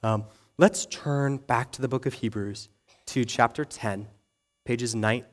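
A man's voice: medium pitch, unhurried. A young adult man preaching to a congregation, conversational and self-deprecating, often checking in with "okay?" and "right?". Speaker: pastor. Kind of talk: sermon.

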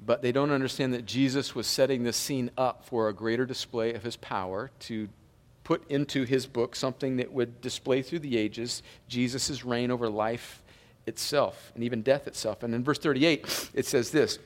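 A man's voice: medium pitch, steady tone, moderate pace. A male pastor preaching a sermon, chatty at times and then emphatic, sniffling with a slight cold. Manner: conversational preaching that rises to emphatic peaks. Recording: long hall reverb.

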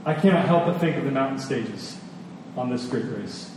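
A man's voice: medium pitch, lively intonation. Echoey male preacher, earnest and emphatic. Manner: earnest, emphatic, dramatic pauses and repetition. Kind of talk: expository sermon with scripture reading. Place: large reverberant indoor hall. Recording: distant, echoey large hall, faint noise.

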